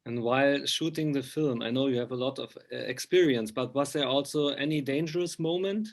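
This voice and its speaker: Halting male interviewer. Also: mid-range voice. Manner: halting, hesitant phrasing. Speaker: interviewer, magazine journalist. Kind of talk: casual interview questions.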